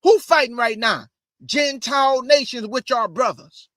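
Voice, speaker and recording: High-voiced preachy man, bone-dry sound. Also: unhurried, fairly bright tone, no echo. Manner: preachy, addresses audience as family. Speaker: host. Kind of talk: casual religious commentary.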